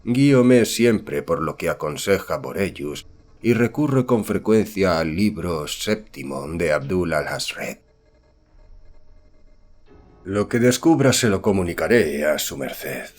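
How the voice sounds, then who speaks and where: A man's voice: low-pitched, animated, slow, soft background music, full character voice. A man voicing a secretive colonial occultist, reading his letter in formal, archaic, courteous diction for a horror audiobook. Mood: secretive, solemn.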